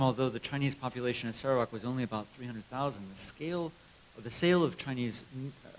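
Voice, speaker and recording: A man of middling pitch reads a prepared speech as an interpreter. His voice has a creaky, gravelly edge, and some hiss sits behind it. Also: low-quality recording. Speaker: interpreter reading an English translation. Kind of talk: prepared speech read aloud. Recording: clear background hiss and noise.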